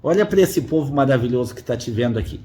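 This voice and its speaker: A man's voice: mid-range voice, animated, fast, mellow. A man, interviewing casually in an effusive, coaxing manner. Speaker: interviewer, show host.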